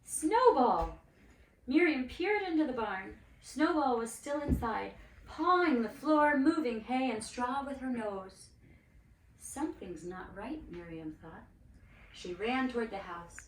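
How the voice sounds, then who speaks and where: Woman reading a kids book out loud, really lively pitch, bit far from the mic. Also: a little slow. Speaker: storybook reader, cantor. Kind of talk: expressive children's story reading. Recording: roomy, distant mic with faint noise.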